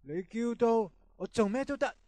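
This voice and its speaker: A man's voice: extremely high pitch, moderate pace, darkish tone, dry recording. A man acting a timid, submissive guard who is eager to please, in an amateur radio drama. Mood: eager, surprised.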